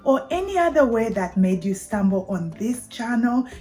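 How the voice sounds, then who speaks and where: Mellow-voiced Zambian woman presenting. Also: fairly high pitch, big pitch swings, moderate pace. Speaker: video channel host. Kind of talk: prepared explainer talk to camera.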